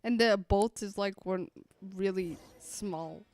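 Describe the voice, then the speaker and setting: A woman's voice: medium pitch, slow, a little mellow. A woman streamer giving casual commentary as she reacts to a video game.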